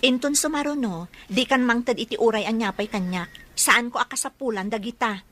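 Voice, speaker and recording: A woman's voice: on the high side, brisk, a bit bright, a little hiss or hum, natural voice. A woman playing an irritable, scornful young woman in an Ilocano radio drama, with a curt, complaining manner. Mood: annoyed. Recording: music behind the voice.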